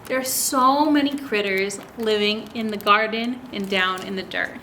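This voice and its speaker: A woman's voice: mid-range voice, moderate pace, sharp tone, a little hiss or hum. A woman hosting a story time for young children, reading aloud in a teacherly way and asking them lots of direct questions. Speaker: children's story time host at a public library.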